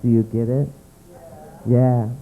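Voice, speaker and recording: A man doing a stand-up comedy set in a small club, with a campy, dramatic delivery that drops into a low voice for effect. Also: level tone, takes it slowly. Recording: tinny lo-fi live recording with mains hum.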